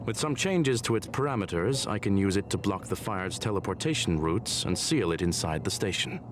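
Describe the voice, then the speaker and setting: A man's voice: deep voice, animated, normal speed, noisy background. A man voicing a coolly logical genius scientist in a video game, with precise, formal, analytical speech. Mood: businesslike. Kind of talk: scripted video game voice acting. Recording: loud game music behind.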